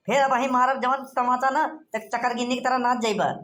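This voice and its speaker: A woman's voice: on the high side, steady tone, fairly quick, a bit bright, close to an everyday voice. Woman voicing a bickering rustic cartoon village fellow. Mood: offended, blustery. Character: rustic village males, cheeky, bickering, easily offended. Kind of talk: comic cartoon dialogue.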